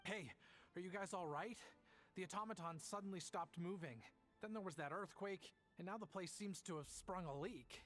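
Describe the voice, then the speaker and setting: A woman's voice: lowish voice, normal speed, faint music behind, lightly acted. A woman playing an earnest, anxious person in a video game, speaking with emotion. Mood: alarmed.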